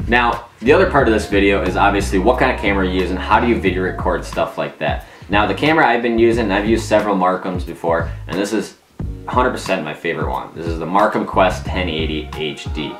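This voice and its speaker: A man's voice: deep voice, fast talker. A man in his thirties giving a casual, informal explanation to camera as a video host. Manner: informal, conversational. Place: small domestic room at a table, indoors. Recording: roomy echo, music behind.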